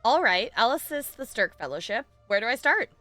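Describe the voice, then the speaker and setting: Bright-voiced woman streaming a game. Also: a little high, sing-song, a little fast, faint music behind. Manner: chatty, informal, talking to viewers. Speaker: game livestreamer. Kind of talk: casual live gameplay commentary and chat.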